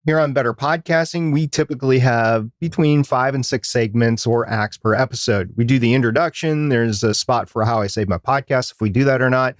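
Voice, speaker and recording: A man with a mid-pitched voice co-hosts a podcast. He delivers semi-scripted advice and drops in casual asides. The recording is dry, with very little room sound. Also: brisk, few pauses, no room sound. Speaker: podcast co-host.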